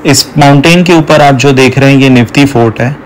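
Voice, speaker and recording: A man's voice: mid-range voice, brisk, a little hiss or hum, rough recording. Man explaining tourist spots. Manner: explanatory, mixes Hindi with English words. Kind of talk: travel guide narration.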